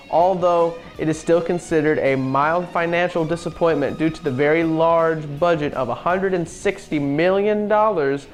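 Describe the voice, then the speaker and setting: A man co-hosting a show about video games, talking through his commentary. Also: on the high side. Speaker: show co-host. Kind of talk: scripted narration and casual opinionated talk about video game films.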